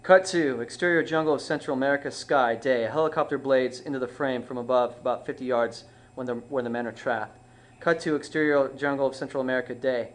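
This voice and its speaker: A man's voice: mid-range voice, steady tone, fairly quick. A man reading screenplay stage directions aloud at a table read, plainly, with small stumbles and self-corrections. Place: small dim indoor room.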